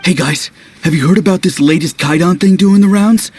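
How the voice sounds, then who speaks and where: An eager, gossipy townsman talks in a husky voice a little higher than most. He passes on rumors with keen interest. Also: brisk, a little mellow.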